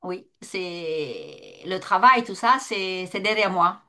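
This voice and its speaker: A woman's voice: fairly low pitch, big pitch swings, bright, forceful tone. Older lady w vietnamese accent, chatting on a video call, lots of euh and restarts. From northern Vietnam. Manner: halting, with many restarts and fillers. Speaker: guest. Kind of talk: casual online debate.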